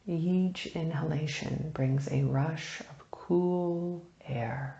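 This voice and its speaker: A woman leading a guided meditation, speaking softly and soothingly in unhurried phrases with long pauses, turning breathy at phrase ends. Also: sits low, echoey room, highs cut off. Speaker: meditation teacher.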